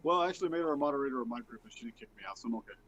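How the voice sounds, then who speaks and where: A man's voice: mid-range voice, lively intonation, racing, muffled recording. A man bantering casually on a group video call. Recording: busy group call with chatter.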